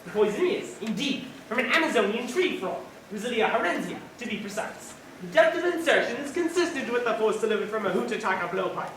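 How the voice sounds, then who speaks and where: A teenage high school actor playing a pompous, exacting detective inspector in a stage play, speaking in formal, precise pronouncements. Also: fairly bright tone, lightly acted. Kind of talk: theatrical stage acting. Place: large auditorium stage, indoors. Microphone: far camera in audience. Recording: echoey school auditorium, distant noisy camera.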